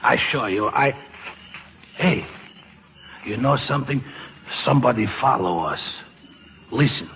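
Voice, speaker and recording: A man's voice: medium pitch, lively intonation, unhurried, gravelly, pauses often, a bit bright, slight background noise. An actor playing a jolly, tipsy, big-hearted Mexican carousel man with effusive broken English, in 1940s radio style. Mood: warm, confiding. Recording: old radio drama broadcast.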